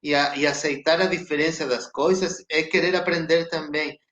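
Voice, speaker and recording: A Chilean man, a native Spanish speaker with a Chilean Spanish accent, talking reflectively as a guest actor on a video call, halting and restarting often. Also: fairly high pitch, fairly even intonation, fairly bright tone. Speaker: guest actor and theater director. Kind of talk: casual interview chat. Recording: roomy echo.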